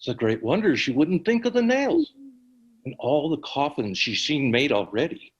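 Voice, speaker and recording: A man playing a plain-spoken village man in a stage drama, with a husky voice. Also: fairly high pitch, sing-song, normal speed, highs cut off. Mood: puzzled.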